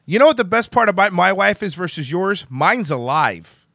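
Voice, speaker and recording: Cuban-born guy hosting radio, spanish first language, mocking and pushy, bright voice, dry close sound. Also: high-pitched, sing-song, moderate pace, no echo. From Cuba. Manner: brash, mocking, interrupting. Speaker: radio show host. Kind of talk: insult-heavy provocative interview.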